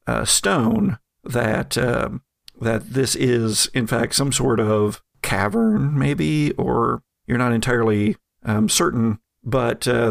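A man working as game master, improvising descriptive second-person narration of a tabletop game in a halting way. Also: medium pitch, vocal fry, dry recording, clean studio sound.

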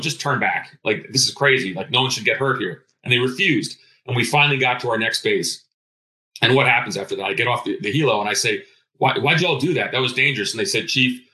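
A man's voice: medium pitch, bright, forceful tone, low-quality recording. A middle-aged man telling personal stories as an interview guest, earnest, emphatic and conversational. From born in Greece. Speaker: interview guest, retired intelligence officer.

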